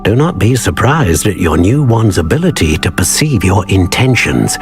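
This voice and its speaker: Casting: kindly old craftsman, formal, deepish lively voice, game dialogue with music. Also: normal speed. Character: courteous, wise old male wand maker. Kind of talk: video game character acting.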